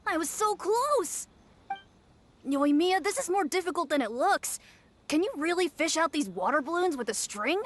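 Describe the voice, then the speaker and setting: A woman's voice: extremely high pitch, expressive, moderate pace, slight background noise. An actress playing an earnest, naive young girl in an anime game, with a plaintive manner. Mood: disappointed.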